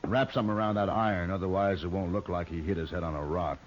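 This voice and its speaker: An actor playing a domineering, menacing outlaw with rough rural speech in an old-time radio western. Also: male voice, low voice, fairly even intonation, fast talker, lightly acted. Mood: cold menace. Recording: muffled, noisy vintage radio drama recording.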